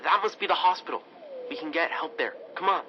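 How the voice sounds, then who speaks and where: An actor playing an earnest, reassuring teenage boyfriend in an old-time radio drama. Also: male voice, fairly high pitch, brisk, dull audio, natural voice. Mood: worried, reassuring. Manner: eager, earnest. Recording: band-limited vintage radio, loud effects noise.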